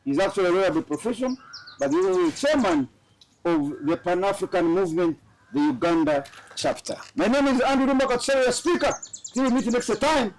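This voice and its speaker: Male host from Tanzania, bright and excitable delivery, high lively voice. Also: fairly quick, poor audio. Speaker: show host. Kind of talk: studio political talk show.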